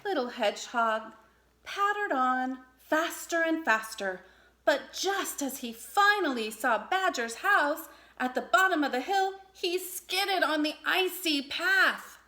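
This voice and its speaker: A woman reading a children's story aloud in an expressive narrating style. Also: high-pitched, takes it slowly. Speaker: storybook reader.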